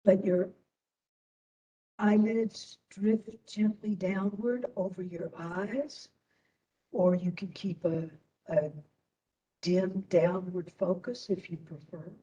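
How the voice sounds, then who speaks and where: A woman instructor leading a guided meditation in a soothing manner, with long pauses between short phrases. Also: medium pitch, warm tone, far from the mic, lo-fi sound.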